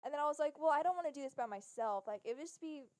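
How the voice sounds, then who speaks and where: Chatty young woman, bright higher voice. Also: lively intonation, racing, no echo. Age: young adult. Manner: chatty, laughs often. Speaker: podcast co-host. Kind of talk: casual chat.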